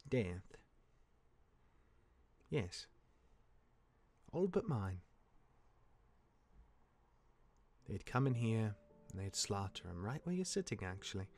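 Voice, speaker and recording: An actor playing a cocky, jaded demigod of misfortune mentoring his younger brother in a fantasy drama, smooth-talking and wry, with dramatic turns. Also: lowish voice, big pitch swings, takes it slowly, pauses often, a little mellow, a little hiss or hum, exaggerated voice. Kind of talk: character acting in fantasy audio drama.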